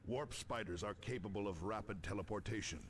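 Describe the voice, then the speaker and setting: An actor playing an authoritative, grave space marine captain who speaks formally in a video game transmission. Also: male voice, lowish voice, a little fast, close to an everyday voice. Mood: grave, commanding. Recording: game audio with faint noise.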